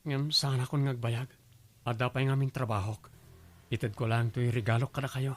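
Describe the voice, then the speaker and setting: A man's voice: medium pitch, fairly even intonation, normal speed, lightly acted. An earnest young ex-boyfriend of radio drama, acted by a man. He speaks with an Ilocano Filipino accent and a pleading, remorseful manner. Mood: resigned, polite.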